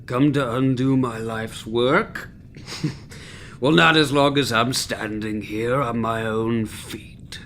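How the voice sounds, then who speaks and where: A man's voice: medium pitch, fairly bright tone, a little hiss or hum. A man playing a cantankerous, hard-drinking chief medical officer in a sci-fi comedy audio drama, sardonic, theatrical and full of contempt. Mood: accusing, dramatic.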